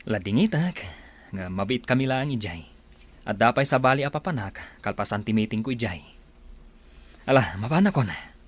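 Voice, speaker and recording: A man's voice: mid-range voice, lively intonation, normal speed, long pauses, close to an everyday voice. A man with a Filipino accent playing a busy company boss with an eye for young women in an Ilocano radio comedy drama. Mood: businesslike.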